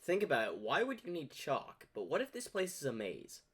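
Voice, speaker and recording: Animated man giving reaction commentary. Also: on the high side, normal speed, slightly rough. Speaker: reaction video host.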